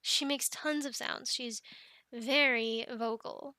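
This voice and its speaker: Casting: woman to play young female Viking dragon keeper, ASMR roleplay. High-voiced, unhurried, cheerful, affectionate and chatty. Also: steady tone, bright, forceful tone, dry recording. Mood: tender, fond. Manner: chatty, affectionate coaxing and explaining. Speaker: amateur audio roleplay performer. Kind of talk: ASMR roleplay acting, speaking to the listener.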